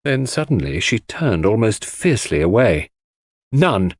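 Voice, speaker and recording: A man narrates an audiobook story in the first person. His voice sits fairly low and moves through very animated pitch swings. The delivery is scripted and literary. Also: moderate pace.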